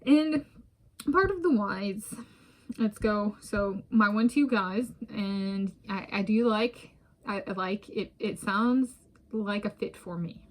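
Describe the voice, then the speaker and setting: Woman, American, casual vlog, self-filmed, rambling, restarts, soft laughs, mid pitch. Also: takes it slowly, rough recording. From United States. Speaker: vlogger. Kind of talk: casual solo talk to camera. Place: home in a residential area. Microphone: self-filmed camera.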